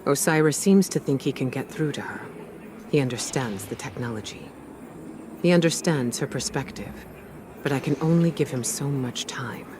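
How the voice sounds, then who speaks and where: An actor playing a grave, weary male military commander in a game cutscene, speaking in a measured, solemn and deliberate way. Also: medium pitch, noisy background, close to an everyday voice. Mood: concerned. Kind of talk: scripted game cutscene narration.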